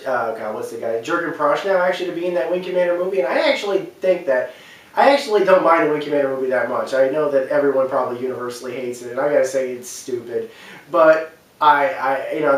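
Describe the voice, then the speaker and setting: A man giving an unscripted, rambling opinion rant, repeating his points for emphasis. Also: fairly high pitch. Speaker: online video commentator. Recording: echoey room, distant from the mic.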